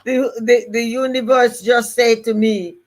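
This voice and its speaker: Older Jamaican woman, motivational speaker, slow and emphatic, slightly high voice, repeats key lines. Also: fairly even intonation. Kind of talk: motivational live-stream talk.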